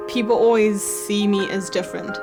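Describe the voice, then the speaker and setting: A woman's voice: mid-range voice, fairly even intonation, normal speed, gravelly. Young woman, candid voice-over with music. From Zambia. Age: young adult. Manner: candid, conversational, filler "like". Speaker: university student. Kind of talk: documentary voice-over.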